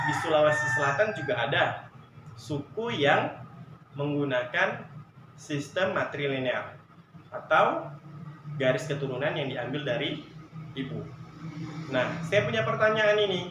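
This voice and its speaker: A male sociology teacher giving an online lesson, explaining through examples, asking the class rhetorical questions and filling pauses with "nah.". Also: a little high, lively intonation. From Javanese heritage, Indonesia. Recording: echoey room with faint noise.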